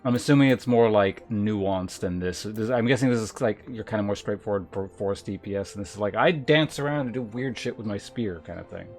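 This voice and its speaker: A man's voice: lowish voice, fairly quick, few pauses, darkish tone. Casual male game streamer over music. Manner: informal, thinking aloud. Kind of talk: casual livestream chat, reading game text aloud.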